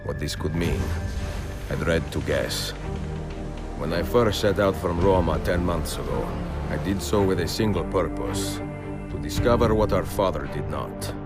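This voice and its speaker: An actor playing a weary, noble middle-aged master assassin with an Italian accent, speaking in a measured, solemn way in a game cutscene. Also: male voice, sits low. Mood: solemn, reflective. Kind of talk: dramatic letter narration and game cutscene dialogue. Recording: loud orchestral music behind the voice. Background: cinematic game music.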